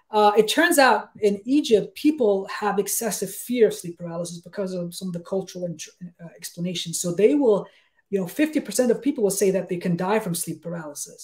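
A man, explaining things conversationally as an expert guest in an emphatic, halting way, often checking that he is followed. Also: high-pitched, a little mellow. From Denmark. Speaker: guest expert, sleep researcher. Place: small room with bookshelves, on a video call.

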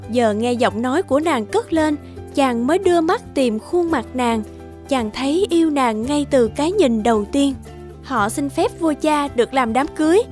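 High-voiced woman storyteller. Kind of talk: scripted fairy-tale narration for children.

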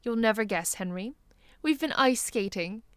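A woman's voice: a little high, expressive, normal speed, fairly bright tone, clean tone, dry recording, clean studio sound. A woman playing a kindly, enthusiastic bishop's wife in a radio-style drama. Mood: delighted. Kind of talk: radio-play style acting.

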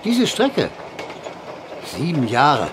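An actor playing a courteous, dry-humored train conductor, formal and deferential, in a German audio drama. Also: male voice, wildly swooping pitch, drawn-out words, heavily acted. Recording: clear train rumble behind voice.

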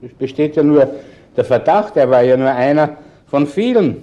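A man acting a shrewd, chatty police chief inspector in a radio crime drama, with a Viennese accent and a deliberate, probing way of talking. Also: a little high, animated, dark tone, dull audio, lightly acted. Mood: conversational, probing. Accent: Viennese Austrian. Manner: colloquial, deliberate, insinuating. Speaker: actor.

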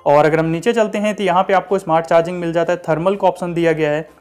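A man's voice: on the high side, fairly even intonation, quick pace, a little mellow, clean tone. A man giving a casual walkthrough voice-over as a tech reviewer, mixing in English terms and repeating filler phrases. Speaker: tech reviewer, channel host.

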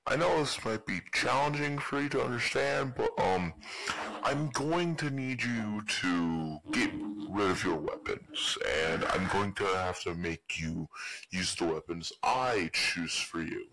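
A man's voice: lowish voice, animated, unhurried, pushed and bright, a little hiss or hum, pitched above the performer's own voice, heavily acted, low-quality recording. A man voicing an awkward, dismissive mad doctor who rambles hesitantly, in amateur game character lines. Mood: flustered.

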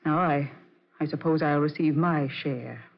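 Nosy suspicious accomplice, high voice, muffled old radio play. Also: male voice, a little slow. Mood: probing, cool. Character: probing, suspicious accomplice wanting a share. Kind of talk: 1940s radio drama acting. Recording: muffled vintage radio recording.

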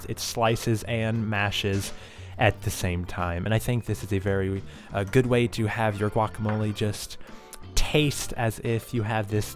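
A young man in a home kitchen, hosting a tongue-in-cheek cooking tutorial with mock confidence and halting, repeated words. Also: on the low side. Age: young adult. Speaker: host of a cooking parody vlog. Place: home kitchen and dining area, indoors.

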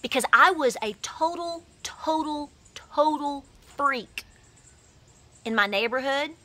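An emphatic woman in her forties speaks straight into a handheld phone camera from her porch. Her voice sits fairly high and rings bright and forceful. She talks animatedly and drives her points home with feeling. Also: lively intonation, takes it slowly. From Appalachia, USA. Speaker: homesteading vlogger. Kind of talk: passionate straight-to-camera talk. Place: outdoors on a house porch. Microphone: phone camera at arm's length.

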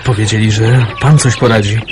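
A man's voice: fairly low pitch, steady tone, a little fast, darkish tone, soft background music, lightly acted. An actor playing an eager, cheeky male resistance messenger in a radio drama, with an insistent, folksy goading manner. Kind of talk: Polish radio drama acting.

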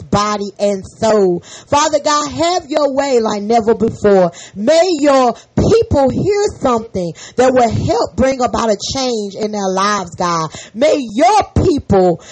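A hoarse woman hosting a faith podcast, leading a fervent spoken prayer and an encouraging sermon full of repeated amens. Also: a little high, darkish tone, rough recording. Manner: fervent, repetitive, peppered with amen. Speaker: podcast host and prayer leader.

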